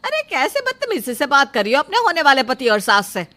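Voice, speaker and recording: A woman's voice: sits high, huge pitch swings, fairly quick, sharp tone, a little hiss or hum, heavily acted. A woman playing a greedy, haughty middle-aged mother-in-law in a story drama, condescending and bossy in manner. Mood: indignant, scornful. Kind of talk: dramatised Hindi moral story acting.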